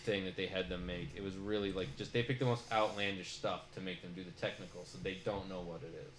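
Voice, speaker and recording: A man's voice: sits low, fairly even intonation, quick pace, reverberant, slight background noise. A man hosting a podcast, talking through a show review in a casual, rambling way full of fillers. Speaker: podcast host.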